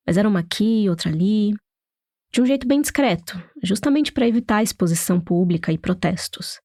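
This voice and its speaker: A woman reporter with a Brazilian Portuguese accent narrating a documentary story in a steady, storytelling manner. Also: fairly low pitch, dry recording, studio quality. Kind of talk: scripted narration.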